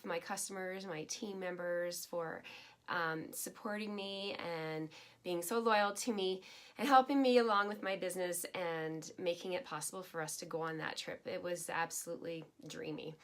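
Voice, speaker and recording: A woman's voice: on the low side, moderate pace, bright, forceful tone. A middle-aged woman from Canada with a head cold and a slightly nasal voice, chatting with live viewers as a stamping demonstrator, greeting people and laughing often. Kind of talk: live craft video chat.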